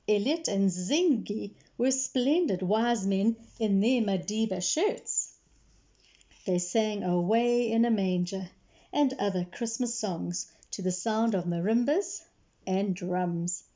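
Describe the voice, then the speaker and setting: A woman reads a children's story aloud with expression. Her voice is soft-edged and mellow, mid-pitched, and she explains things simply. The recording sounds slightly dull. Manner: explains words simply for young listeners. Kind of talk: expressive read-aloud narration.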